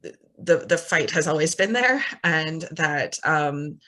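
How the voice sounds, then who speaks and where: A woman from Canada with a Canadian accent hosts an interview over a video call. Her voice is bright and forward. She speaks earnestly, with many ums and small restarts. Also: low-pitched, animated, slow. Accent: Canadian English. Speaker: interviewer, host. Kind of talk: casual interview.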